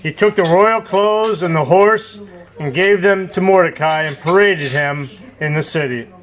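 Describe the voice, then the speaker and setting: A man's voice: high-pitched, a little slow, low-quality recording. A man telling a story as narrator of an amateur puppet show. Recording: faint background noise.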